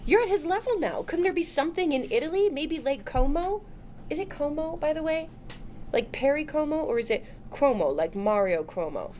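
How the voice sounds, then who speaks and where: A woman playing a chatty, excitable, anxious wife in a table read of a TV drama, gushing and rambling with emphasis. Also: sits high, moderate pace. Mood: coaxing, chatty. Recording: room noise.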